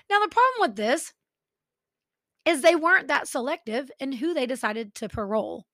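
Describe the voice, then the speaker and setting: A woman's voice: high voice, a bit bright, no room sound, clean studio sound. Chatty Texan woman with a stuffy nose. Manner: chatty, sarcastic asides. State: stuffy from allergies. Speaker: podcast host. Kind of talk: true crime narration.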